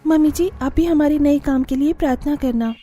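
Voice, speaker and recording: A woman's voice: high-pitched, a little fast, dark tone, close to an everyday voice. A woman voicing an earnest, respectful young daughter-in-law in a cartoon family story.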